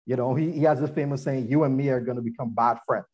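A man's voice: mid-range voice, fairly even intonation, quick pace, darkish tone, breathy edge. A man being interviewed on a podcast, telling earnest stories about his upbringing and laughing now and then. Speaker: guest, former athlete and attorney.

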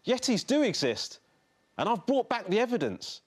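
A man's voice: high-pitched, animated, a little slow, pitched above the performer's own voice. A man voicing an eager, earnest young boy in a children's storybook, speaking insistently. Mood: proud, eager.

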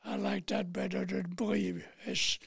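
A man in his late nineties with a French accent tells his own story plainly and haltingly. His voice is high and very husky, breaking into heavy fry. He takes his time between phrases as an interview guest. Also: huge pitch swings, fairly bright tone, no room sound, studio quality. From Paris, France. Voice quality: raspy, thin, breathy. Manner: plain, halting storytelling in non-native English. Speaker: interview guest, war veteran. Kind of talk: recounting personal memories in interview.

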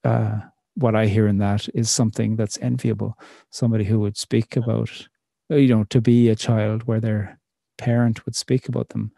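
A middle-aged man, a poet, speaking in a thoughtful, measured way with many pauses in an interview. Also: fairly low pitch, level tone, gravelly, mellow, no echo. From Ireland. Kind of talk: reflective conversational interview.

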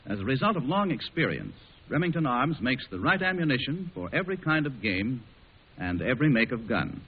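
A man announcing on 1940s radio, reading a scripted sponsor message in a husky voice. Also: medium pitch, sing-song, a little fast. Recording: lo-fi old 1940s radio broadcast.

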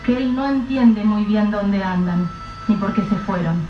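Grave woman narrating a radio drama. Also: mid-range voice. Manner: measured, grave, storytelling. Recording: roomy, dull, distant mic with soft music.

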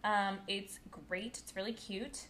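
A woman in her late twenties, originally from Brazil, co-hosting a casual podcast chat, outspoken and quick to laugh. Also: mid-range voice, fairly even intonation, fairly bright tone. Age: late 20s. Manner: chatty, outspoken, laughs a lot. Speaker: podcast co-host.

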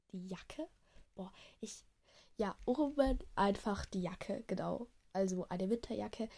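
A woman's voice: medium pitch, a little mellow. A young woman with a cold, chatting casually and rambling with many fillers and self-corrections. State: has a cold. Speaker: podcaster. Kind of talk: casual chat. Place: outdoors in cold wind.

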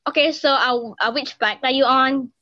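A bubbly woman hosts a casual podcast chat in a high voice. The sound is dry and slightly dull, like a lo-fi mic. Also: moderate pace, sharp tone, dry recording. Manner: bubbly, laughs often. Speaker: podcast host.

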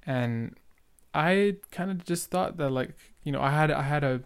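A man's voice: on the high side, a little slow, clean tone. A young man who stutters, talking casually and earnestly as he reacts to his own old podcast episode. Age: young adult. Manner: earnest, rambling, filler-heavy.

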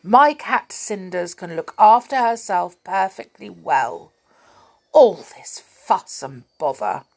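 A woman voicing a cross old witch who scolds loudly and scorns the idea of fuss over animals, reading from a storybook. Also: mid-range voice, very animated, a little slow. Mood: scornful. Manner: loud, scolding, indignant.

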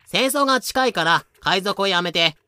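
A man's voice: sits high, expressive, moderate pace, sharp tone, clear, resonant tone, no echo, clean studio sound. A man delivering scripted dramatic narration as the storyteller.

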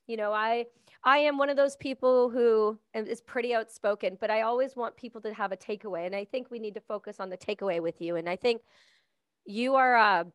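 A woman's voice: fairly high pitch, brisk, clear, resonant tone, no room sound. Chatty Canadian woman host, dry sound. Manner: effusive, chatty, praising. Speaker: podcast host. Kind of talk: casual interview chat.

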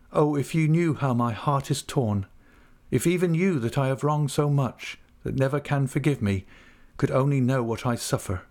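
A man's voice: medium pitch, darkish tone, lightly acted. A man reading out a remorseful young woman's farewell letter in a storybook, with a sorrowful, pleading, lingering delivery.

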